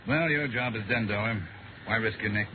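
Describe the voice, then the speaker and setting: Man acting as quick-talking official cop lieutenant, old radio show, heavy noise, tinny. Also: on the low side, lightly acted. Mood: businesslike. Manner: brisk, official. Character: businesslike, steady male police lieutenant. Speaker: police lieutenant. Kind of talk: 1950s radio crime drama acting.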